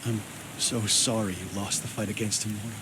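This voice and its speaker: A man's voice: fairly low pitch, steady tone, brisk, background noise, natural voice. An actor playing a weary, earnest, haunted man in a dramatic video game. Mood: sorrowful. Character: weary, earnest haunted man resisting his evil father.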